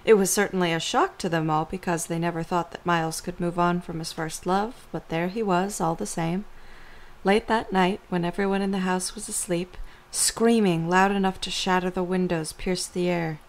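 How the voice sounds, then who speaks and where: Female storyteller, slightly low voice, scripted third-person narration. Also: fairly even intonation, normal speed.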